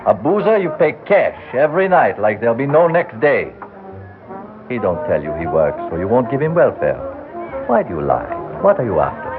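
A man's voice: mid-range voice, very animated, moderate pace, over music, natural voice. Man acting a swooping, menacing tough-guy cafe boss. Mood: suspicious, menacing. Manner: sly, clipped, threatening. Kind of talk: 1960s radio drama acting. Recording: narrow-band vintage 1960s radio recording.

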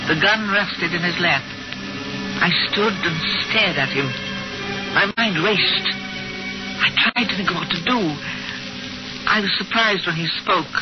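Muffled vintage radio, loud music behind: actress as anxious, respectable American housewife, earnest dramatic narration. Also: female voice, lowish voice, measured pace, long pauses, natural voice. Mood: tense recounting. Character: anxious, respectable housewife recounting her abduction to her niece. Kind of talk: 1940s radio suspense acting and narration.

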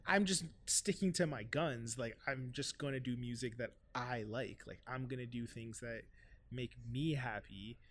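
A man in his thirties from South Florida with a high-pitched voice, chatting loosely, with lots of "like" and "right?". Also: a little slow, a bit bright, slight background noise. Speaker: guest musician. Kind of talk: casual podcast conversation.

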